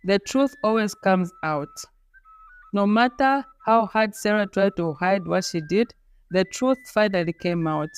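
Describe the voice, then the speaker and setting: A woman's voice: mid-range voice, unhurried, clean tone. Woman narrating a folktale over music. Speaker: storyteller.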